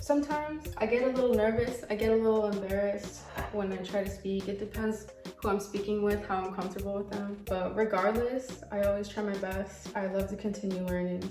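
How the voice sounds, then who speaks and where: A woman from the United States chatting in a rambling way while she cooks in her home kitchen. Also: mid-range voice. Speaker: cooking vlogger. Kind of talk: casual cooking vlog chat. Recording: echoey kitchen, distant mic, soft music.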